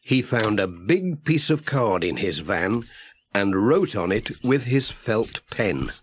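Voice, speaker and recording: A man narrating a children's audiobook in a gentle, clearly phrased storytelling manner. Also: on the low side, sing-song, slow, a little hiss or hum.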